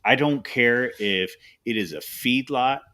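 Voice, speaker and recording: A man's voice: medium pitch, takes it slowly, pushed and bright. A man hosting a podcast in casual conversation, giving emphatic, opinionated asides. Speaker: podcast host.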